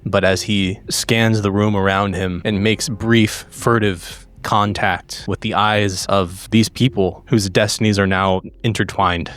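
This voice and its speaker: A man playing a tabletop game, narrating his character in deliberate, dramatic phrases. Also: on the low side, fairly bright tone. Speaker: tabletop role-playing player. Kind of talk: literary improvised character narration.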